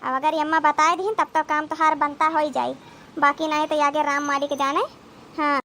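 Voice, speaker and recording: A woman's voice: sits high, level tone, normal speed, fairly bright tone, a little hiss or hum. A woman playing a scolding but protective village sister-in-law in an Awadhi comedy cartoon, exclamatory and rustic. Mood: worried, resigned. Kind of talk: dramatic comedy cartoon dialogue.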